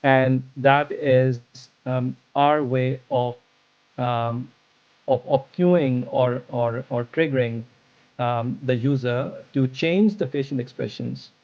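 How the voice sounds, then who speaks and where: A man whose first language is not English, answering interview questions about his product in a slow, thoughtful way with many pauses and restarts. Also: medium pitch, a little mellow, highs cut off. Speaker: startup founder, interview guest. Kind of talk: podcast interview.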